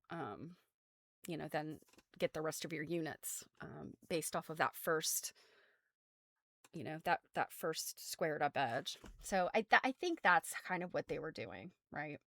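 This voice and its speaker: A woman's voice: low voice, animated, unhurried, vocal fry, a bit bright, no room sound. An American woman hosting a casual craft livestream, explaining things in an informal, rambling way full of trailing asides. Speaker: host of a craft show. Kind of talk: casual livestream chat about quilts.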